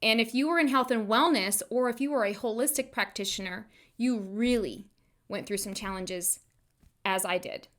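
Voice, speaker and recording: A woman's voice: fairly high pitch, normal speed, fairly bright tone. A woman hosting a motivational solo podcast, speaking emphatically and informally straight to her listeners, repeating phrases for emphasis. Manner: informal direct address to listeners. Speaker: podcast host, chiropractor and wellness coach.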